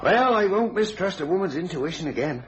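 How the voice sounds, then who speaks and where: A man's voice: high voice, expressive, fairly quick, lo-fi sound. An actor playing a folksy, plain-spoken policeman in an old-time radio thriller. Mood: relieved, confiding. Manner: folksy, colloquial.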